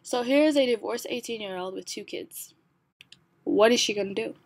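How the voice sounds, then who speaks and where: Soft husky young woman reading her story. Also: a little high, normal speed, no room sound. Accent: American. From born in Somalia, raised in the United States. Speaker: narrator of her own digital story. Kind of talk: read personal narration.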